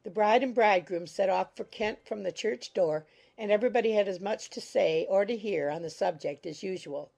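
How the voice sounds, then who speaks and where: A woman reading scripted audiobook narration in a measured, formal storytelling manner. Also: mid-range voice, gravelly, a little mellow.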